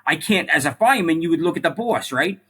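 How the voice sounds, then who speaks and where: Husky-voiced man, earnest and animated. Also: a little high, fast. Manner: earnest, repeats words while thinking, says "like" and "right?" often. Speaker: podcast guest, former police officer and firefighter. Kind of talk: casual interview conversation.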